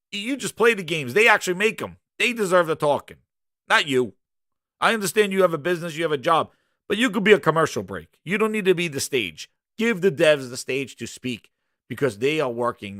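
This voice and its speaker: A man hosting a casual gaming livestream, hoarse and rambling, launching into animated rants. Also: a little high, moderate pace, dry recording, studio quality. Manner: animated ranting, loose and rambling. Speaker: gaming podcast host.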